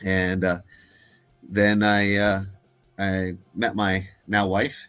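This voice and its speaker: Deep-voiced hesitant man, middle-aged. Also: completely flat delivery, slow pace, a bit bright, smooth, faint music behind. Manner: hesitant, with many uh fillers and restarts. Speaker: guest filmmaker. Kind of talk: casual interview chat.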